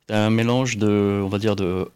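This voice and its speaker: A man with a slightly low voice speaks as a panelist on a board game show. He explains things in a casual, conversational way, often pausing to search for the right words. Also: steady tone, darkish tone, clear, resonant tone.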